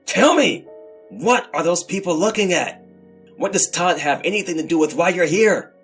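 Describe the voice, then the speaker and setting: A man's voice: sits high, animated, moderate pace, soft background music, pitched above the performer's own voice. A man voicing a frightened, desperate young man in a dramatized horror story, pleading and demanding. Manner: pleading, loud outbursts.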